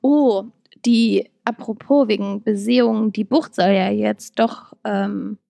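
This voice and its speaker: Chatty Berlin woman with a mellow voice. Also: medium pitch, steady tone, slow, gravelly, dry recording. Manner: chatty, many fillers and restarts, laughs often. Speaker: podcast co-host. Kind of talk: casual podcast chat.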